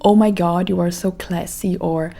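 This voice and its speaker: A woman's voice: fairly low pitch, steady tone, moderate pace, creaky, dark tone. A woman in her thirties with a Brazilian Portuguese accent, hosting a podcast as a casual, reflective monologue full of "like" fillers. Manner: reflective, rambling with many "like" fillers. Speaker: podcast host.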